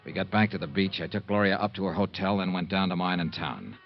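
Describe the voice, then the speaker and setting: An actor playing a wry, hard-boiled insurance investigator in a 1950s radio drama, sardonic and dry. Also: male voice, sits low, fast, faint music behind. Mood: narrating. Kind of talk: 1950s radio drama acting and first-person narration. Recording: muffled 1950s radio recording.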